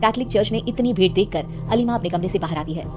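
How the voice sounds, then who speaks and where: A woman's voice: medium pitch, fast, music in the background. A woman narrating a scripted horror cartoon story as its storyteller.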